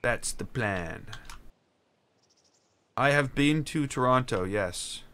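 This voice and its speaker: A man streaming a game, chatting casually and haltingly, answering chat while he builds. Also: medium pitch, expressive, fairly bright tone. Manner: rambling, halting, answers chat between tasks.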